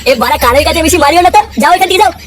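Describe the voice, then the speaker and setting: A man voicing a grumpy, foul-mouthed old grandfather in a comic cartoon, scolding in an exasperated way with an artificially raised pitch. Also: rapid-fire, background noise. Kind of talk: exaggerated comic cartoon dialogue.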